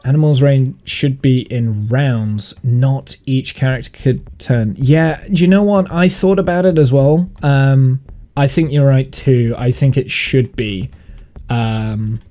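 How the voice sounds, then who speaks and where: A man chatting casually on a gaming livestream, thinking aloud in a rambling way, with a slightly croaky, sniffly voice. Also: mid-range voice, takes it slowly, warm tone. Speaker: live streamer and game master. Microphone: streaming mic monitored through headphones.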